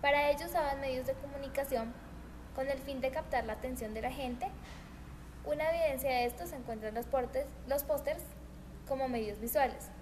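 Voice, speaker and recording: A woman's voice: high voice, fairly quick, darkish tone. A woman presenting a history documentary, reading earnestly with small stumbles and restarts. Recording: noisy homemade recording.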